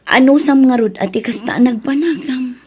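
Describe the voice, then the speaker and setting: A woman's voice: high-pitched, normal speed, darkish tone, slightly rough. A woman playing a concerned older sister who counsels firmly in an audio drama, with an Ilocano accent. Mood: earnest, admonishing.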